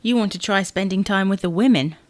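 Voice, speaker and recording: A woman's voice: mid-range voice, brisk, no echo, close to an everyday voice. A woman playing a fussy, exasperated wife who bickers insistently in a domestic audio sitcom. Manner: bickering, insistent, theatrically indignant. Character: fussy, exasperated wife fretting over wedding clothes.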